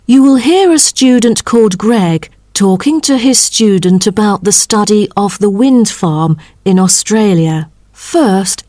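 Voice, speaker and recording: A woman reading scripted exam instructions as an announcer, formal and deliberate. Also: mid-range voice, darkish tone, no room sound, clean studio sound. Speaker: test announcer.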